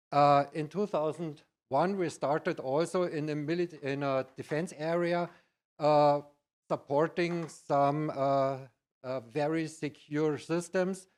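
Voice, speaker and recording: A middle-aged or older man with a Bavarian German accent, giving a conference presentation in halting, non-native English. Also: fairly high pitch. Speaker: conference speaker, IT practitioner.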